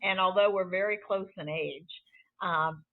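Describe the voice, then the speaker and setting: A woman's voice: fairly low pitch, measured pace. A woman in her sixties hosting a podcast, upbeat and chatty, rambling a little as she talks. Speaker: podcast host. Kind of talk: conversational podcast interview. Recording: tinny phone-like line.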